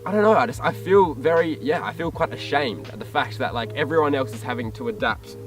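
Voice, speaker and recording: An Australian man chatting casually as a podcast host, in a blokey, sweary, self-deprecating way. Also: brisk. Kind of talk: casual chat. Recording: distant mic, music and vehicle rumble behind.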